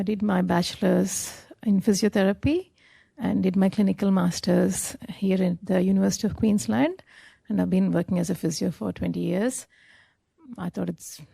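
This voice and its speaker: A woman's voice: mid-range voice, vocal fry, mellow, no echo. A woman in her forties from India, a physiotherapist, introducing herself to a class in a matter-of-fact way. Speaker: student, physiotherapist. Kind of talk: self-introduction at a welcome session.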